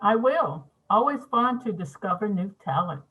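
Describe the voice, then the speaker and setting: Woman acting a writer in her forties, muffled recording, animated pitch, friendly, screenplay read. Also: moderate pace, breathy edge.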